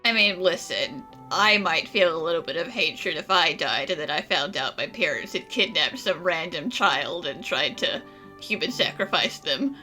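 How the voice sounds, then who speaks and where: Bright, animated woman commenting on a game. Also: on the low side, normal speed, low-quality recording. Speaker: gaming channel player commenting. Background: game music.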